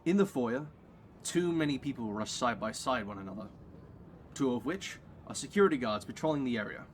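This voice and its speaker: A man's voice: mid-range voice, lively intonation, brisk, slight background noise. A man reading scripted scene narration for an audio play.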